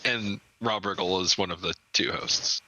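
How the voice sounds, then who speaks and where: A man co-hosting a podcast in casual chat, dropping quick, dry quips. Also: deep voice, steady tone, sharp tone, poor audio. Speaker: podcast co-host.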